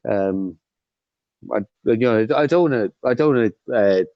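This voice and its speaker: A man with a Scottish accent from the Highlands, talking casually in a group discussion, hesitant with many fillers and restarts. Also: expressive, normal speed, dry recording, dull audio.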